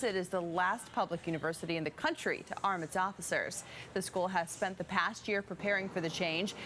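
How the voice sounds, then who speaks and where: A woman's voice: fairly low pitch, background noise. A woman anchoring the news, reading scripted stories in broadcast style.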